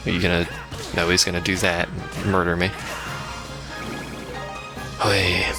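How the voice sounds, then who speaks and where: A man's voice: deep voice, level tone, unhurried, creaky. A man giving casual, rambling live game commentary as a let's play host, with wry asides. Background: video game music.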